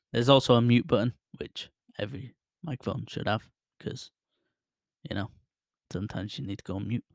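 A man's voice: mid-range voice, normal speed, vocal fry, a little mellow, no echo. A man casually reviewing a microphone he is testing, rambling and self-deprecating, laughing at himself, speaking close into a studio mic at his desk. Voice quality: voice others call soothing. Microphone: close studio microphone with headphones.

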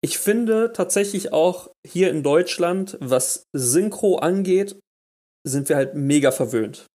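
Animated man co-hosting a podcast. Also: sits high, slow. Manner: animated, restarts and stammers when excited. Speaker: podcast co-host. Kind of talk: casual chat.

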